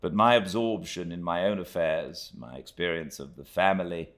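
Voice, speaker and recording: A British man narrating a classic novel in a measured, expressive storytelling manner. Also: deep voice. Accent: British English. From Britain. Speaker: audiobook narrator.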